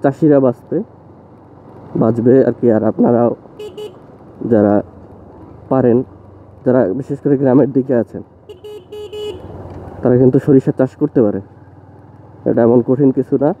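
A man's voice: medium pitch, steady tone, moderate pace, pauses often. A young man, talking casually to camera while riding a motorbike on rural roads, loose and opinionated. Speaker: motovlogger. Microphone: phone mounted on motorbike. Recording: thin phone-like sound, faint road noise.

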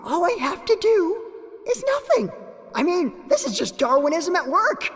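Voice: extremely high pitch, sing-song, normal speed, a bit bright, dry recording, studio quality, exaggerated voice. An actor voicing a sardonic, exasperated teenage gamer boy in an anime parody dub, sarcastic and melodramatic. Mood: smug sarcasm.

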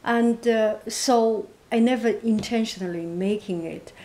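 Slow, soft-spoken woman, non-native English. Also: on the high side. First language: not English. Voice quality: monotone, quiet, soft. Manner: hesitant, gentle, halting. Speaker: interviewee, painter and teacher. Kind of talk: reflective interview answers.

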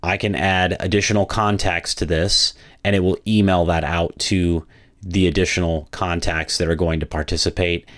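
A man narrating a product walkthrough as a software demo presenter, in a methodical, explanatory way. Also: low voice, level tone, moderate pace.

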